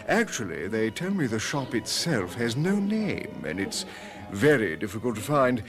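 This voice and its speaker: An actor playing a courteous ship's captain who shares intriguing rumours in a radio mystery drama. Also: male voice, mid-range voice, big pitch swings, measured pace. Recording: music behind a radio drama.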